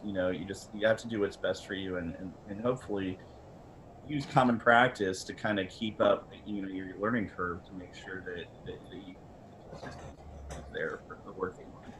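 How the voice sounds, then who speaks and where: Low-voiced man, blunt and rambling, noisy audio. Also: fairly even intonation, brisk, a bit bright. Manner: blunt, rambling, repeats words mid-sentence. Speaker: camera company representative on a panel. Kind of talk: casual expert panel talk. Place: online video meeting from a room. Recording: clearly noisy video-call audio.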